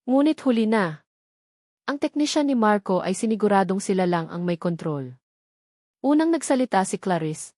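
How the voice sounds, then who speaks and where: A woman narrates a dramatic tale in a scripted storytelling style. Her voice sits at a middle pitch, and the sound is dry, with very little room around it. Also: lively intonation, normal speed, long pauses, dark tone, no echo.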